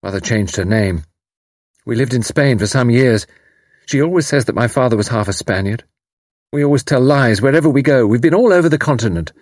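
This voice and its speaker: A man's voice: mid-range voice, lively intonation, a little fast, a little mellow, no room sound, studio quality, pitched above the performer's own voice, lightly acted. A man voicing a fierce, bitter, impetuous young woman in an audiobook, with intense, emphatic delivery. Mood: resentful. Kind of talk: audiobook character dialogue.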